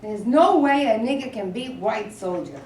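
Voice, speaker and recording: A woman's voice: on the high side, animated, normal speed, darkish tone, natural voice. A woman in a staged play reading, playing a hot-headed, hateful, griping teenage boy who is a Confederate private, in folksy speech. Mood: scornful. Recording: echoey room from far off, faint noise.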